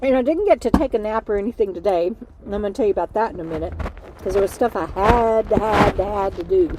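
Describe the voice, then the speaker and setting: A woman's voice: mid-range voice, fast, background noise. A middle-aged woman, hosting a casual livestream from her RV, rambling and chatty, tired and losing her voice from lack of sleep. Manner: rambling, chatty, talks to her dog mid-stream. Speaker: livestream host. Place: inside an RV.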